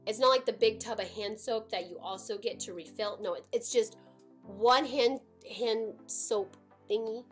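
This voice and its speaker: High-voiced, dramatic young American woman. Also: normal speed, faint music behind. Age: 20s. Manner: dramatic, emphatic, gesturing. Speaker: storytime vlogger. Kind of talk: animated personal storytelling to camera. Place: small room at home.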